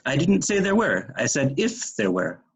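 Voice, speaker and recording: A man plays a pompous royal mathematician with a husky, animated voice. Every figure comes out precise and emphatic, in the pedantic style of a storybook know-it-all. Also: medium pitch, moderate pace. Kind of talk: readers theater storybook reading.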